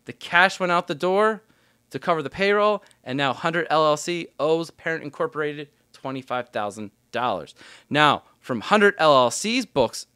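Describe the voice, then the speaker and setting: A man's voice: a little high, expressive, normal speed, sharp tone, clean tone, dry recording, clean studio sound. A man giving an explanatory tutorial as an instructor, talking informally through worked examples step by step. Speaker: accounting instructor.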